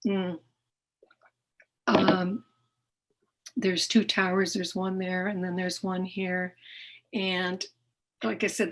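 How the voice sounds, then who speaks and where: Middle-aged woman speaker, earnest presenter, mid-pitched voice, talks with frequent fillers and restarts. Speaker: nonprofit founder presenting to a club. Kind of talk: presentation talk with slides.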